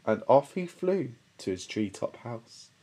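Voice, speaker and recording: A woman narrating a children's storybook in a measured, expressive storytelling manner. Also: extremely low pitch, slightly rough. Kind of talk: scripted children's story reading.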